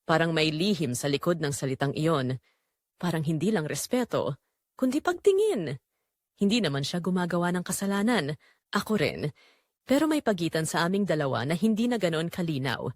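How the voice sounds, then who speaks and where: A woman narrating an audio drama as a middle-aged mother-in-law confessing secret longing, in an intimate, measured way. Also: deep voice, big pitch swings, a little mellow, dry recording, clean studio sound. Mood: confessional, yearning. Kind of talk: first-person dramatic storytelling.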